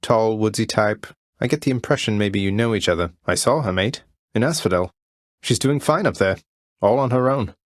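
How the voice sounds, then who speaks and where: A man playing a young underworld prince in a video game, friendly, courteous and gently wry. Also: lowish voice, normal speed, no echo, clean studio sound, lightly acted. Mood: earnest, upbeat.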